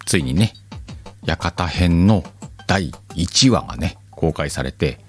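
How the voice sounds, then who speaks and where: Low-voiced, lively man. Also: slow pace, soft background music. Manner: chatty, self-deprecating, joking. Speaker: podcast host. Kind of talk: casual chat.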